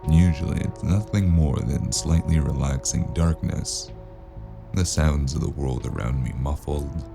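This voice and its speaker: A man's voice: a bass voice, creaky, over music, full character voice. A man voicing a weary, brooding male noir detective narrating in first person. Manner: slow, brooding, hushed.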